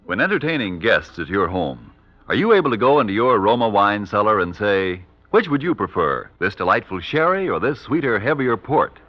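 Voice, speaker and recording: Male vintage radio ad announcer, low and very animated, dull archival sound. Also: no echo. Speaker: commercial announcer. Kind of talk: 1940s radio advertising read. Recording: dull, dry 1940s radio recording.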